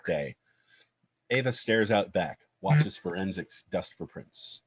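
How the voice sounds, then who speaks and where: Guy narrating script directions, really low raspy husky voice. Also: animated, slow. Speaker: narrator reading stage directions.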